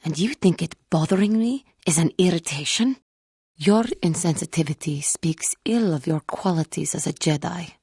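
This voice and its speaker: A woman playing a wounded, earnest female alien Jedi in a video game, speaking in formal, measured phrases. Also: lowish voice, darkish tone, husky, no echo, studio quality. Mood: reproachful. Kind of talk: scripted video game character dialogue.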